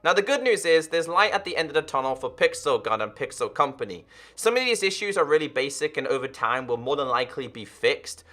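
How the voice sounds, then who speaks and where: This is a young man in his twenties making a gaming video, speaking straight to his viewers in an earnest, direct way. His voice sits a little high for a man and has a crisp, forceful edge. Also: very animated, a little fast. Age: 20s. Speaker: gaming YouTuber. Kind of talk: direct-to-camera commentary.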